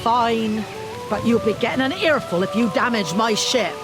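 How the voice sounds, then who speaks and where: An actress playing a gruff, blunt, proud shipwright in a video game cutscene. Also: female voice, fairly high pitch, normal speed, lightly acted. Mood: grudging. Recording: loud music over the voice.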